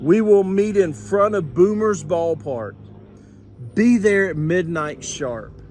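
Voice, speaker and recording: A man's voice: sits high, lively intonation, slow pace, soft background music. Man acting an eager, bossy mouse team captain. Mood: rallying. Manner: shouting orders, rallying.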